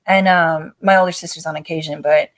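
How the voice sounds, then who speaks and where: A woman co-hosting a casual chat podcast, frank and quick to laugh, with a deep voice for a woman. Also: fairly even intonation, dry recording. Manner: frank, laughs easily, lots of short agreements. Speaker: podcast co-host.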